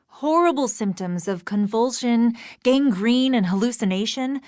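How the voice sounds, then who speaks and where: A woman voicing a knowledgeable, formal expert in a video game, speaking in a measured and precise way. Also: a little high, expressive, a little mellow, no echo, studio quality. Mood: informative, composed. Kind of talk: scripted video game dialogue.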